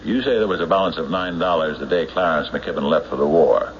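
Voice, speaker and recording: A low-voiced, suspicious sheriff. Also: male voice. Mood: probing, suspicious. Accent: American. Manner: deliberate, probing questioning with measured pauses. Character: suspicious, probing male county sheriff. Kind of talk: radio mystery drama acting. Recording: muffled, hissy old radio recording.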